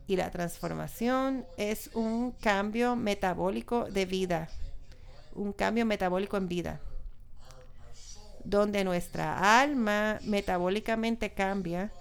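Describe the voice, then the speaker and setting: A woman's voice: mid-range voice, slow pace, gravelly, clean tone. A woman preaching a sermon with anecdotes, repeating key phrases for emphasis. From Pittsburgh, United States.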